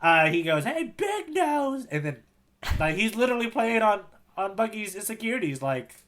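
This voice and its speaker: A man's voice: high-pitched, very animated, moderate pace, fairly bright tone. A man co-hosting a comedy podcast, bantering casually with joking, mock-serious teasing. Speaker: podcast co-host.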